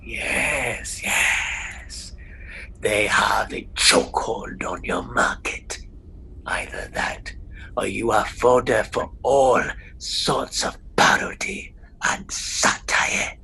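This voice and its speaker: A man voicing a sly, mocking trickster spirit in a radio play, often in a breathy, gravelly whisper. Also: on the low side, bright, forceful tone, heavily acted, rough recording. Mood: sardonic. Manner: slow, taunting, theatrical.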